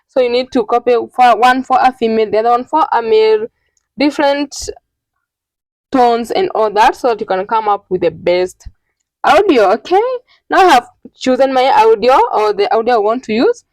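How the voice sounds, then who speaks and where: A woman with a fairly high voice walks listeners through a software tutorial one step at a time. She is instructional and often checks that they are following. The recording is dry, with very little room sound. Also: normal speed, no echo, low-quality recording. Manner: instructional, repeatedly checking in with "okay". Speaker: tutorial host.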